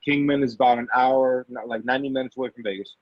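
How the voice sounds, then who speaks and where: A man speaking as an actor being interviewed, casual and halting, often repeating and rephrasing himself. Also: medium pitch, steady tone, dry recording. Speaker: actor and amateur producer, interview guest. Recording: dull, lo-fi audio.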